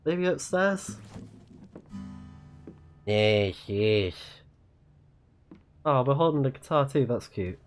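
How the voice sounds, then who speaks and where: A man's voice: mid-range voice, big pitch swings, slow pace, pauses often, soft background music. A man giving casual live let's play commentary, musing aloud and reacting as the game goes. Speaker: game streamer.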